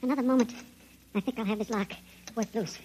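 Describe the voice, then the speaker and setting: Quick, high-voiced, determined tiny man. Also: fairly even intonation, darkish tone. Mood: focused. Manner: practical, urgent. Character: resourceful, determined five-inch shrunken man. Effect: sped-up, pitched-up tiny voice. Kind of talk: old-time radio drama acting. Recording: noisy, dull vintage radio recording.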